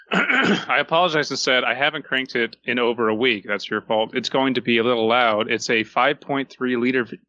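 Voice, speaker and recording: A man's voice: medium pitch, moderate pace, sharp tone, dry recording, dull audio. A man co-hosting a casual comedy podcast, blunt, profane and exasperated as he talks. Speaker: podcast co-host.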